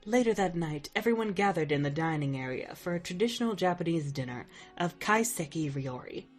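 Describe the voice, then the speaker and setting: A woman narrating a fan audio drama in a calm, even storytelling manner. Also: lowish voice, brisk, soft background music. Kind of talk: scripted narration.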